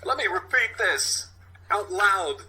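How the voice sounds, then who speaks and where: Casting: an unpredictable cop-show captain, Walken-like halting delivery, pleasant yet menacing, raised and animated pitch. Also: male voice, exaggerated voice, poor audio. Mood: deliberate, sardonic. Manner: halting theatrical monologue swinging between hushed and shouting. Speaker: police captain.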